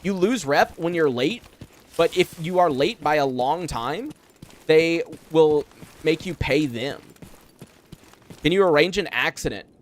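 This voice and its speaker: A man's voice: a little high, expressive, a little slow, long pauses, a little hiss or hum. A young man streaming a game, talking casually and rambling with lots of fillers as he plays and answers chat from a room at home. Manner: rambling, filler-heavy, chatty. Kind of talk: casual live gameplay chat.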